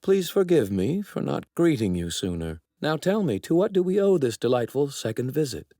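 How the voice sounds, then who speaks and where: A man's voice: mid-range voice, big pitch swings, moderate pace, mellow, no echo, studio quality, close to an everyday voice. A man in an anime dub voicing an arrogant young apprentice god, a righteous villain who speaks formally and precisely with courteous menace. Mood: smoothly polite. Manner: formal, haughty, precisely worded.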